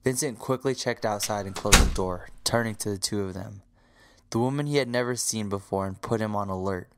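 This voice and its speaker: Low-voiced male story narrator. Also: brisk, fairly bright tone, no echo. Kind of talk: scripted audio drama narration.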